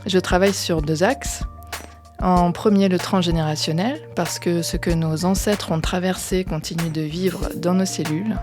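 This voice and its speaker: A woman's voice: on the low side, steady tone, moderate pace, roomy sound, over music. A woman hosting a podcast who introduces the show and her guest, then asks questions conversationally, with frequent fillers. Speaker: podcast host.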